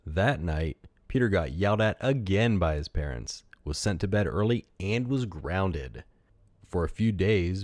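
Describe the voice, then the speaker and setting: A man's voice: low-pitched, a little mellow, no echo, studio quality. A man narrating a read-aloud children's story as a storytelling podcast host.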